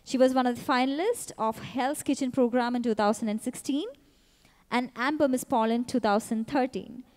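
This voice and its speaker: High-voiced woman pageant announcer, reverb. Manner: measured, even reading of short biographies. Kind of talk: scripted contestant introductions. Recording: long hall reverb.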